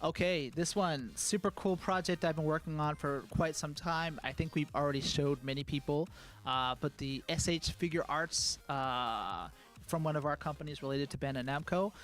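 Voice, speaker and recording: A man giving a live product presentation as a game producer, speaking in a relaxed way full of "uh"s. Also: moderate pace, few pauses, soft background music. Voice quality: calls his own voice high. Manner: relaxed, filler-heavy speech with many "uh"s.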